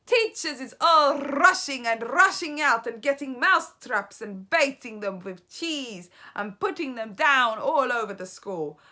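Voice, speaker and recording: A woman voicing a villainous old witch leader who shouts and chants a gloating song, in a children's book read aloud. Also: high-pitched, lively intonation, unhurried, few pauses, pitched above the performer's own voice, exaggerated voice. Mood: shouting.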